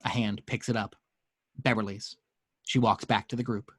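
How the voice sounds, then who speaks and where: A man's voice: fairly low pitch, flat delivery, normal speed, fairly bright tone, husky, no room sound. Man narrating a screenplay, dry close sound. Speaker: narrator reading action descriptions. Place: at home.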